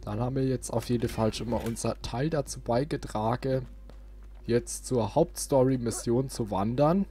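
A man's voice: mid-range voice, moderate pace, mellow. A man hosting a let's play, giving casual, playful commentary on a video game. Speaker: let's player, host. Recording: game noise behind the voice. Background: video game ambience.

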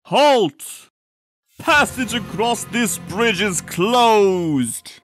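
A man's voice: high voice, animated, very slow, fairly bright tone, faint music behind, full character voice. Man acting a proud giant cartoon bird. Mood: haughty. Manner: pompous, formal, turning hesitant. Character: huge haughty roc bird, insecure underneath. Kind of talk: comic dub cartoon acting.

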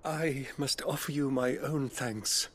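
An actor playing a gentle middle-aged priest with a British accent, speaking formally and compassionately in a video game. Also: male voice, medium pitch, fairly even intonation, slow. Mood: grave, courteous. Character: gentle, solemn middle-aged abbey priest tending the dying. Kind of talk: video game cutscene acting.

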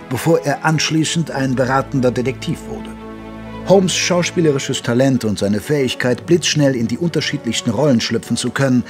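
An actor playing a retired old army doctor who narrates a radio play in a measured, genteel, reminiscing way. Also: male voice, medium pitch, lightly acted. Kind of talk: scripted first-person radio play narration in German.

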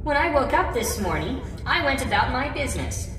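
A timid small creature pleads in a very high, swooping voice. He is flustered and apologetic, hesitating over his words. The audio drama recording rings with cave echo. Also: big pitch swings, brisk. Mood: nervous pleading. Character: small, frightened, pleading male animal traveller. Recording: echoey cave reverb, distant, faint noise.

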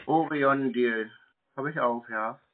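Man, average pitch, short plain answers, casual, tinny telephone sound. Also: slow pace. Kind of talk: casual phone conversation. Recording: narrow-band telephone call.

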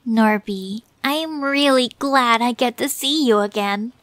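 A woman playing a flirty, soft-spoken cartoon beaver sweetheart. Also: on the high side, a little slow. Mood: tender. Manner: soft, affectionate, seductive. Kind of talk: fan fiction dramatic reading. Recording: music behind the voice.

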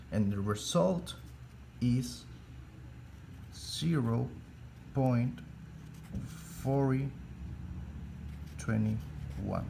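A man with a Spanish accent, Spanish his first language, teaching an electrical calculation slowly step by step, halting and restarting phrases as he searches for English words. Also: mid-range voice, creaky, mellow, a little hiss or hum. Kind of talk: slow step-by-step tutorial.

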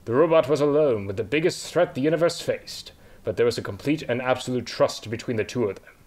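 A man's voice: mid-range voice, expressive, fairly quick, darkish tone. A man narrating a fan-made adventure saga, reading the script in a dramatic storybook manner.

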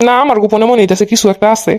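A woman's voice: fairly high pitch, steady tone, very fast, a little mellow, dry recording, studio quality, pitched below the performer's own voice, lightly acted. A woman voicing a dismissive, irritable young husband in an amateur cartoon, curt and nagging, with a Bangladeshi Bengali accent. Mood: suspicious, annoyed.

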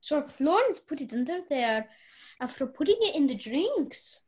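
A woman's voice: high voice, very animated, normal speed, a little mellow. A young woman playing a spiteful, accusing party guest in a radio play. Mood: triumphant. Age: young adult.

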